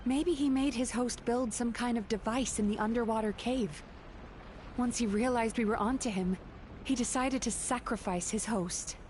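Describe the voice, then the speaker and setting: A woman playing a gentle, composed young psychologist and dream healer in a video game, speaking sincerely. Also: a little high, moderate pace, a little hiss or hum, natural voice. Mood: serious.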